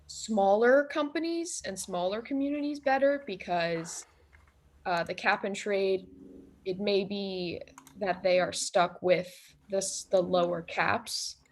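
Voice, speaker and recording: A woman student playing an economist in a class role-play, agreeing earnestly and speaking with many fillers over a video call. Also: medium pitch.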